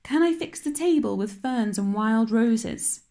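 A woman voicing an eager, chattering young girl who rushes her words and pleads. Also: on the high side, expressive, dark tone. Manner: breathless, rushing, pleading.

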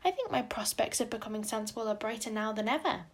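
A woman's voice: on the high side, lively intonation, quick pace. A spirited, imaginative teenage girl talks in earnest, dramatic sentences full of sighs, voiced by a woman reading aloud. Her speech is wordy and heartfelt.

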